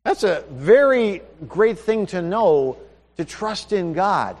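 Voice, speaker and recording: A man's voice: sits high, big pitch swings, slow pace, a little mellow, long reverb tail. A man preaching a sermon in a conversational way, asking his listeners questions.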